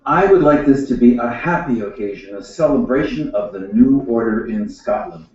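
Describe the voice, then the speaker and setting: A man's voice: medium pitch, lively intonation, moderate pace, darkish tone, distant mic, lightly acted. An actor playing an uneasy, murderous new king in an educational radio play. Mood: hearty, welcoming. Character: newly crowned murderous king, hosting, uneasy. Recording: echoey room, off mic, dull sound.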